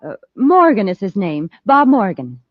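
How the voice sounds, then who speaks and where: An actress playing an efficient, polite woman security officer in an old-time radio space serial, crisp and courteous. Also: medium pitch, big pitch swings, normal speed, dry recording. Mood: businesslike. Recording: dull lo-fi old radio recording.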